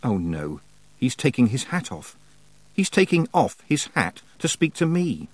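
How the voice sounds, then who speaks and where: A man's voice: mid-range voice, big pitch swings, a little slow, no echo. Man voicing an anxious, resolute young witch girl. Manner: earnest, determined. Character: thirteen-year-old girl witch, anxious but resolute.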